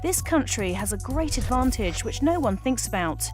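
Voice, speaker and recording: A woman's voice: a little high, animated, moderate pace. A woman narrating a documentary script in an informative manner. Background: music.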